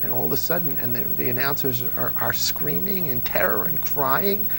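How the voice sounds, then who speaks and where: A middle-aged American man with a slightly high, gravelly voice chats as an interview guest. He tells stories emphatically, circling back on phrases to drive them home. Faint music plays behind him. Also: expressive, moderate pace, rough recording. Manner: emphatic, repeats phrases for effect. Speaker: interview guest, old-time radio fan. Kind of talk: animated conversational storytelling.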